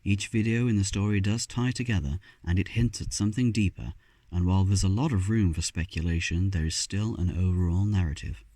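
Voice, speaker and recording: A man presents a scripted video essay as its host. His voice sits low, and the recording is dry with hardly any room sound. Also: a little fast, warm tone, no echo.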